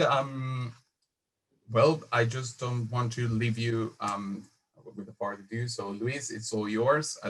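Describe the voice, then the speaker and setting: A man's voice: lowish voice, fairly bright tone, low-quality recording. A man moderating a webinar, speaking hesitantly with many fillers. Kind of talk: introducing a webinar.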